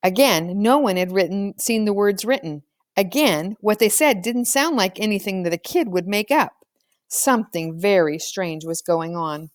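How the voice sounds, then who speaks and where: A woman's voice: mid-range voice, expressive, moderate pace, no echo, clean studio sound. A woman reading a children's novel aloud as scripted storybook narration. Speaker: audiobook reader.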